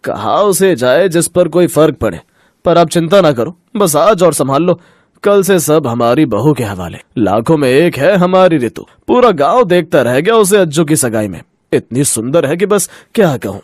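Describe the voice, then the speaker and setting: A man's voice: fairly high pitch, very animated, normal speed, no echo, studio quality. A man playing a worried middle-aged husband and father in a cartoon story, fretful and emphatic. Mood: proud. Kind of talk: Hindi cartoon moral story acting.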